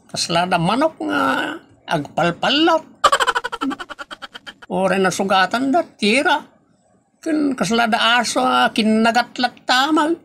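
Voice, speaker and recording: Creaky Ilocano comedy gossip, exclamatory acted by a man. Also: extremely high pitch, expressive, takes it slowly, pauses often, very noisy, exaggerated voice. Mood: excited gossiping. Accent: Ilocano, northern Philippines. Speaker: actor in an Ilocano comedy audio drama. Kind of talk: comedy radio drama dialogue.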